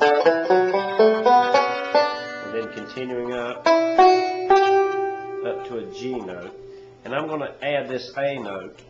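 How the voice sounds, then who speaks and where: A man with a head cold and a stuffy nose, teaching banjo in a relaxed, rambling way with many pauses. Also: fairly low pitch. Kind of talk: casual instructional talk. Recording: echoey room with dull, lo-fi sound. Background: loud banjo playing.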